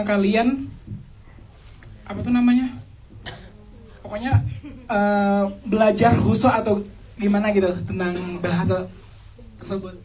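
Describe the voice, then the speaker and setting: Reporter, mid pitch, hesitant delivery with fillers. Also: darkish tone, poor audio. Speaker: journalist asking a question. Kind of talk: asking a press question.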